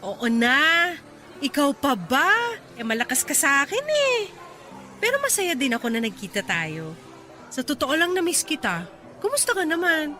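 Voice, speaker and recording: Actress doing playful hardworking filipina girl, radio drama, voice swoops around a lot, bright. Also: high-pitched, sing-song, moderate pace, faint music behind, heavily acted. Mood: teasing. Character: Maylin, hardworking, playful, stubbornly single young woman.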